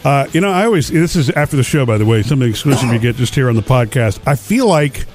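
A man's voice: medium pitch, animated, fast talker, a little mellow, soft background music. A man hosting a show, chatting casually and rambling with restarts, his voice slightly nasal. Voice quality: slightly nasal after sinus surgery. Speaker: radio show host. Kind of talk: casual chat.

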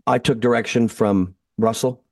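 A man's voice: mid-range voice, steady tone, normal speed, creaky, no room sound, clean studio sound, far from the mic. A man in his fifties, a guest actor, telling easygoing, self-deprecating anecdotes in a casual interview, often restarting his sentences. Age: 50s.

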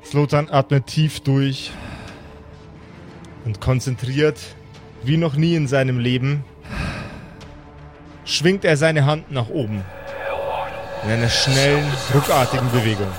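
A man's voice: medium pitch, slow, long pauses. A man narrating as game master in a dramatic, improvised storytelling style. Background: music.

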